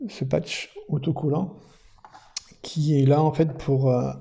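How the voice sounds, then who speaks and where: A man with a slightly high-set voice that is nonetheless very mellow and dark in tone. He talks through an unboxing casually, feeling his way as he goes, with a creaky edge to the words. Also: little pitch movement, takes it slowly. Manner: hesitant, thinking aloud. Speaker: product reviewer presenting an unboxing. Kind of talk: casual unscripted unboxing commentary.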